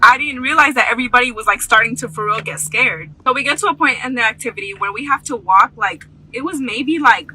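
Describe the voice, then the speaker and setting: Bright, sharp young woman telling stories. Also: a little high, fast, few pauses, breathy edge, rough recording. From United States. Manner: conversational, slangy, emphatic swearing. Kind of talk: casual storytime.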